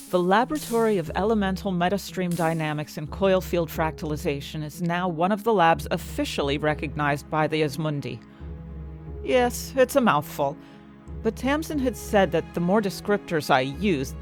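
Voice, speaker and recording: An earnest, slightly anxious woman chemist in a fantasy audio drama. Her voice sits on the low side and moves around in lively swings, confiding and expressive, with music underneath. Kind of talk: first-person scripted narration in fantasy audio drama.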